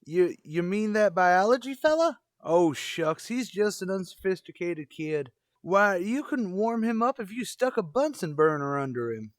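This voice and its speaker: An old custodian in a radio comedy, high-pitched and swooping through his lines. He is stubbornly smitten and talks in homespun, folksy phrases, recorded close and dry. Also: sing-song, unhurried, hardly any pauses, no room sound. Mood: stubborn, lovestruck. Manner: folksy drawling rustic turns of phrase.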